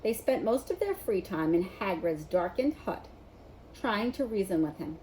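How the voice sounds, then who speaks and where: A woman narrating a children's novel in an expressive read-aloud style. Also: medium pitch, a little slow, a little hiss or hum, rough recording. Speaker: storybook reader.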